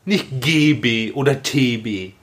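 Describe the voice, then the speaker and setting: A man in his late thirties with an average-pitched voice. He hosts a casual, humorous podcast and tells stories with colloquial swearing and mock drama. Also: takes it slowly, a bit bright. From Germany, Rhineland. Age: late 30s. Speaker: podcast co-host. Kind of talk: casual humorous chat, anecdotes.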